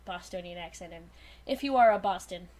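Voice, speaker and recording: A young woman podcast host rambling in an animated, teasing way during a casual group chat. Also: on the low side, fairly quick.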